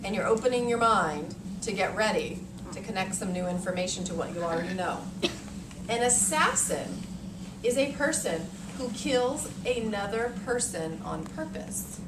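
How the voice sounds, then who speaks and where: An American woman in her thirties, an elementary teacher reading aloud to young children in a deliberate, expressive way, thinking aloud in simple words. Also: mid-range voice. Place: elementary classroom, indoors. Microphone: far camera. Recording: noisy echoey classroom, distant camera mic.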